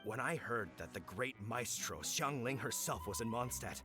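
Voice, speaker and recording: A man's voice: medium pitch, expressive, moderate pace, close to an everyday voice. A man playing an earnest, brash village meat merchant in a video game, speaking with emphatic salesmanship. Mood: eager, persuasive. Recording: music playing behind the voice.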